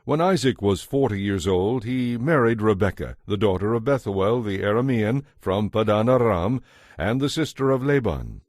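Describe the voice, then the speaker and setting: Low-voiced man narrating scripture. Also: animated, normal speed, darkish tone, no room sound, clean studio sound. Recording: music behind the voice.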